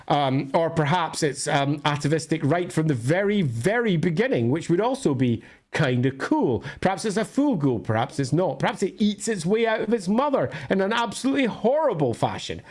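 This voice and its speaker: A man with a Scottish accent and a medium-pitched voice hosts a livestream. He chats casually, thinking aloud in a discursive, opinionated manner. His phrasing is colloquial, with informal asides along the way. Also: darkish tone. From northern Scotland. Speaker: livestream host answering viewer questions.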